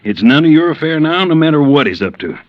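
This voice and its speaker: An easygoing insurance agent with a drawl in an old radio play. His speech is colloquial and full of swings in pitch. The sound is muffled and dull, like a vintage broadcast. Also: very animated, fast. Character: folksy Southern male insurance agent, easygoing. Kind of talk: 1950s radio drama acting.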